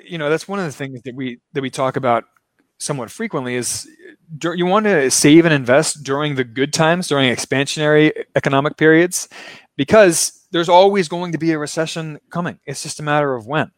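A man's voice: on the high side, brisk, no room sound. A man hosting a casual finance chat, explaining ideas in a loose lecturing way with frequent laughs. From Baltimore, Maryland. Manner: laughs often, talks with a loose lecturing rhythm. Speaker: show host. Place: remote, in Brazil.

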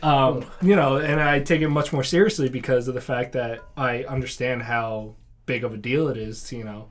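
Young ex-marine man, mid-pitched, earnest, laughs often. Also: fairly even intonation, normal speed. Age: 20s. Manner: earnest, laughs easily, trails off. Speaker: guest, former recon marine. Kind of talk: casual chat over drinks.

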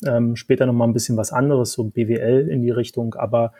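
A man with a Berlin accent talks through his career in a relaxed podcast chat. His voice is dark and mellow, with a slightly husky edge. He pauses often and leans on fillers as he thinks out loud. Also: mid-range voice. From Berlin, Germany. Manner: hesitant, many fillers. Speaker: podcast guest, tech executive. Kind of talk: casual podcast conversation.

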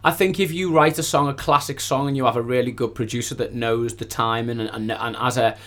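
A man's voice: medium pitch, steady tone, brisk, a bit bright. A man who is a recording artist, chatting casually and informally in a studio interview, often repeating phrases for emphasis.

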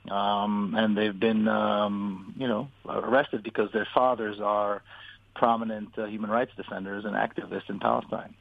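A man from Israel giving a deliberate, earnest account as a radio interview guest, repeating words for emphasis. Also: on the low side, steady tone, creaky. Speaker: interview guest, author and activist. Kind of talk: radio interview, personal account and political argument. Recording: tinny, phone-like narrow-band line.

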